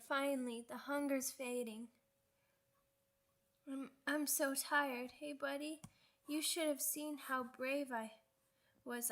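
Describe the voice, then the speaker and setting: A woman's voice: high-pitched, a bit bright. A woman acting a young werewolf pup girl in an ASMR roleplay, timid, earnest and sweet, with a hesitant, childlike way of talking. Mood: tired, relieved. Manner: hesitant, simple childlike wording with stammered repeats.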